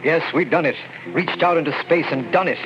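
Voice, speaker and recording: Actor as earnest young lieutenant, muffled old radio. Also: male voice, a little high, a little fast, lightly acted. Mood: haunted, suspenseful. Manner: earnest, dramatic, suspenseful storytelling. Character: eager young army lieutenant and scientist, recounting events. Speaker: narrator and lead character. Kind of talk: old-time radio drama acting. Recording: muffled vintage radio recording, loud music.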